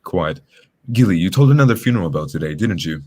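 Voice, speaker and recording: A man's voice: low voice, expressive, fast talker, mellow. A man playing a shrewd, composed detective in a radio drama, speaking formally and matter-of-factly. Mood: shrewd, probing.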